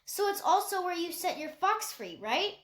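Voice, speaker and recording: A woman reading aloud voices an earnest young girl who presses gently and reassures a grieving friend. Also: very high-pitched, very animated, moderate pace, reverberant, voice pushed up. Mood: probing. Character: earnest girl, caring and insistent.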